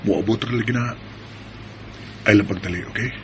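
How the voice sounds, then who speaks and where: A man's voice: on the low side, normal speed, close to an everyday voice. A man acting a devoted but evasive young boyfriend in a Filipino radio drama. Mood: hesitant. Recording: noisy amateur recording.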